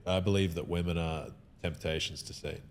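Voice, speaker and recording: A man's voice: sits low, steady tone, normal speed. A man talking in a casual podcast conversation, opinionated and emphatic, often breaking off and restarting his sentences.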